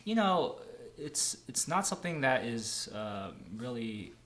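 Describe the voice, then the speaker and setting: A man from California hosting a podcast, asking questions in casual chat and backing the guest with quick "yeah, yeah" replies. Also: medium pitch, very animated, fairly bright tone. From Milpitas, California. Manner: frequent short "yeah, yeah" backchannels, friendly questions. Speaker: podcast host.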